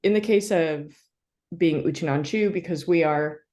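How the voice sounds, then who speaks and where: A woman with a notably low voice speaks as an interview guest and historian. She talks in a reflective, halting way, pausing often to search for words. She is from the United States. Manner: thoughtful, halting, many fillers and soft laughs.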